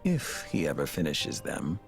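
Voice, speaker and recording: Casting: knowing, measured villain's henchman for a game; deep, expressive. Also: soft background music, heavily acted. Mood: dry. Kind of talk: video game voice acting.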